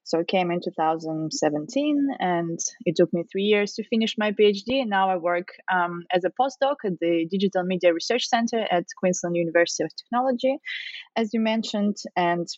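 A woman's voice: lowish voice, animated, brisk, darkish tone, dry recording. Russian woman, thoughtful, dull dry line. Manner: thoughtful, many fillers and restarts. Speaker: guest author, researcher. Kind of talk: casual interview answers.

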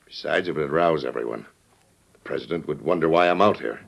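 A man's voice: very deep voice, a little fast, gravelly, slightly rough, full character voice. An actor playing a cool, scheming traitor in old-time radio, curt and calculating as he commands a henchman. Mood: cautious, scheming. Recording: muffled old radio recording.

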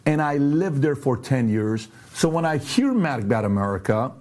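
A man's voice: medium pitch, very animated, normal speed, darkish tone. A man who grew up in Iran, a podcast host, explaining a topic in an emphatic monologue full of rhetorical questions and walked-through numbers.

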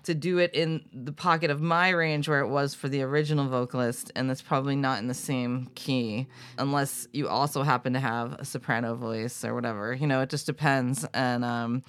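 Chatty woman, clear voice, full of "like". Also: rumbling low, fairly even intonation, normal speed, hardly any pauses, no room sound. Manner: chatty, full of "like", sings short demo notes. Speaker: host, singing coach. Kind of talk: casual podcast conversation.

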